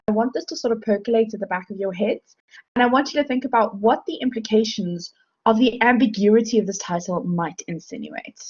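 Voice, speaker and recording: Woman lecturer on dull lo-fi audio. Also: mid-range voice. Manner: measured, explanatory, with frequent ums. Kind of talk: recorded university lecture.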